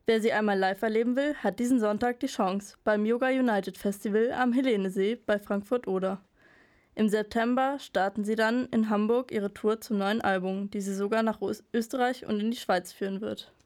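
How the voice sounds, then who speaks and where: A woman opens a school radio show with scripted announcements. She reads them in a brisk, matter-of-fact way, her voice at an ordinary middle pitch. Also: fairly even intonation, a little mellow. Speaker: radio presenter.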